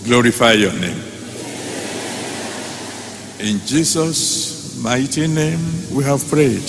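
An older man, a pastor praying solemnly and reverently in measured phrases into a handheld microphone. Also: medium pitch, lively intonation, vocal fry, big-room sound, noisy background. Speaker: pastor leading a convention service. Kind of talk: solemn public prayer. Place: large open-sided church convention arena. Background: music under the voice.

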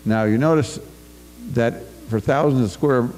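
A man's voice: mid-range voice, unhurried, darkish tone, soft background music. An older American man reads a prepared talk into a podium microphone at a farm forum in a school auditorium. He stumbles and restarts now and then. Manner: reads from paper, with restarts and asides. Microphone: podium mic on a stand. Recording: reverberant auditorium.

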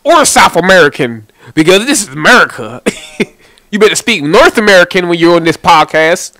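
A man's voice: high voice, very animated, moderate pace. A young man hosting a casual podcast, joking loosely and swearing often, recorded on a studio mic in a small studio. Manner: joking, profane, mock-shouting bits. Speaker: podcast host. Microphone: studio mic on boom arm.

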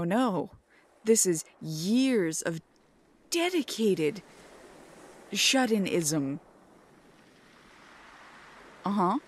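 Slow-talking, playful girlfriend acted by a woman. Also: mid-range voice. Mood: mock indignant. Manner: teasing, mock-complaining, drawn-out emphasis. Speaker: ASMR roleplay creator. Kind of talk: ASMR girlfriend roleplay, intimate casual chat.

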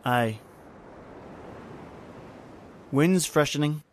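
An actor playing a grim, fatalistic island servant in a radio horror play, speaking tersely, flatly and ominously. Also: male voice, on the high side, a little slow, a little hiss or hum, close to an everyday voice. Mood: resigned. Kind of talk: old-time radio horror acting.

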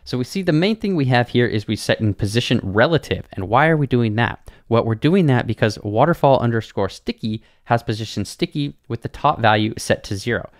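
A young man in a small home studio, calmly explaining a web design and coding tutorial step by step into a boom mic. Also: a little fast, few pauses, dry recording. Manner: relaxed, explanatory, talks through each step. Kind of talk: screen-recorded coding tutorial. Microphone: studio mic on boom arm.

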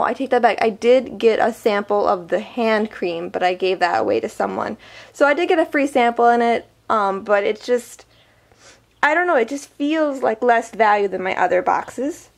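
Woman vlogger w/ a summer cold, kinda weak voice, lots of um and like, unboxing stuff off camera. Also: a little high. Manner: chatty, many ums and likes. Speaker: beauty vlogger. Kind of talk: casual product unboxing review.

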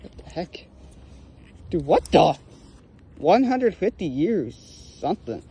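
Young male vlogger, high lively voice, mellow tone, excitable outdoor commentary, faint ambience. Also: slow, slightly rough. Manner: excitable, exclaims over finds. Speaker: magnet fishing vlogger. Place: outdoors on a lake dock. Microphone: handheld phone or body camera.

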